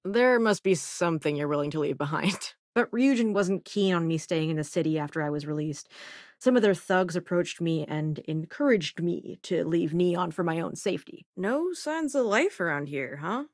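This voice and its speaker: Sassy, animated young sci-fi companion. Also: female voice, on the low side, moderate pace, no room sound, clean studio sound. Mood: self-assured. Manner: sassy, wry, casual. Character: sassy, self-assured young scientist and smuggler companion in space. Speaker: video game companion character. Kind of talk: acted video game dialogue lines.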